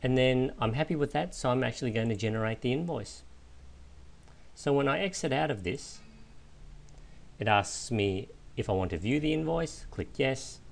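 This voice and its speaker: A man giving an informal, step-by-step software walkthrough, explaining as a screencast instructor. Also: mid-range voice, animated, moderate pace, gravelly. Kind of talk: step-by-step screencast tutorial narration.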